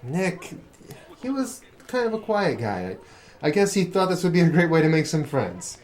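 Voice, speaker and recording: A man's voice: a little high, big pitch swings, normal speed. An actor playing a nervous, defensive young college man who stammers and hesitates, in a noir radio drama.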